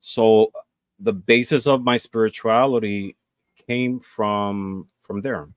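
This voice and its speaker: A man in his forties talks earnestly as a guest, pausing on fillers and restarting his sentences. His voice sits low, and the sound is close and dry. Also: steady tone, clear, resonant tone, no echo. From Puerto Rico. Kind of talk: casual interview chat.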